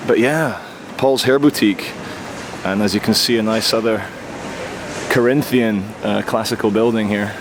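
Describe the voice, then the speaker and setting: A hoarse man with a chesty cold, vlogging as he walks a city street with wry, sarcastic commentary. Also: lowish voice, moderate pace. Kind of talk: casual walking commentary. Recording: outdoor street recording with traffic noise. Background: street traffic noise.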